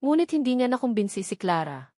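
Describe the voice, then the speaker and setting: A woman's voice: on the high side, very animated, fast talker, darkish tone, no echo, clean studio sound. A woman reads a scripted, dramatic story as a narrator.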